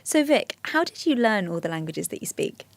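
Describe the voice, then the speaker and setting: A woman's voice: medium pitch, very animated, moderate pace. Woman hosting a radio podcast. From United Kingdom. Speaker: podcast host. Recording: dry studio voice with faint music.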